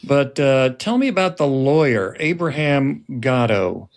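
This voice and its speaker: Male podcast host with creaky voice. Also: medium pitch, lively intonation, unhurried. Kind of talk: conversational interview.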